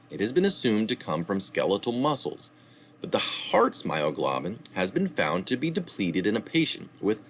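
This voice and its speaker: A man reading a health article aloud, pausing to add citation asides and correct his own slips. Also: on the low side, a little hiss or hum. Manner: reads steadily, adding asides and correcting slips.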